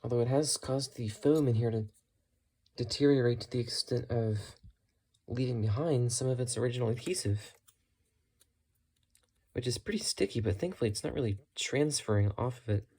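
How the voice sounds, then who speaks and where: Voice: medium pitch, normal speed, long pauses, mellow, slightly rough. A hobbyist vlogger giving a casual, unscripted show-and-tell in a matter-of-fact way, musing tentatively while talking. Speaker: hobbyist vlogger showing thrift-store radio finds.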